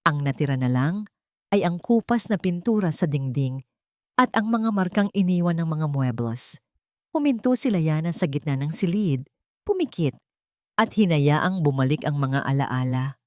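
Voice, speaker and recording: Lower-pitched woman narrating a dramatic story. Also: expressive, moderate pace, no echo, studio quality.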